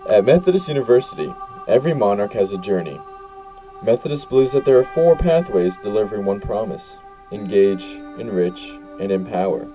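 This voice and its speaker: A man reading scripted promotional narration in a smooth, measured way. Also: on the low side, expressive, over music, poor audio.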